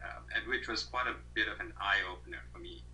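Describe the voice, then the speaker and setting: A man's voice: on the low side, a little fast, bright, forceful tone, slight background noise, poor audio. A man in his thirties from Malaysia, a guest on an interview over a video call, talking thoughtfully with many fillers and restarts.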